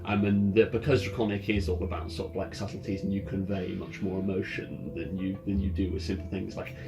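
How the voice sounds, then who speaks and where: A man with a fairly low voice runs a tabletop roleplaying game. He talks informally, explaining rules and setting scenes for his players. Ambient music plays clearly underneath his voice. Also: flat delivery, fairly quick. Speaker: game master. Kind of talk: casual tabletop roleplay game narration and rulings.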